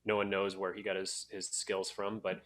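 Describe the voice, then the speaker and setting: A man hosting a casual podcast, telling stories in a halting, jokey way. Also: low-pitched, completely flat delivery, a bit bright. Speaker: podcast host.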